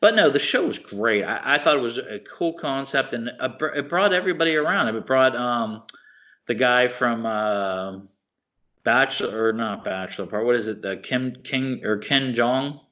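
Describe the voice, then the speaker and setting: A man co-hosting a podcast, chatting casually and enthusiastically, halting and searching for words as he rambles. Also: mid-range voice, expressive, bright, forceful tone. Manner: informal, enthusiastic. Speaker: podcast co-host. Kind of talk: casual chat about films and TV shows. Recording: slightly dull, lo-fi podcast audio.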